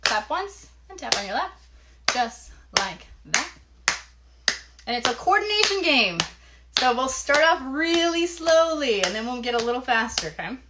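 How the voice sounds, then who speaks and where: A woman with a high voice leads children through play as a live show host. She talks to them directly, instructing and encouraging. The live-stream recording is very noisy, with clicks. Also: big pitch swings, moderate pace. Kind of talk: interactive kids' play session, teaching and singing a hand game.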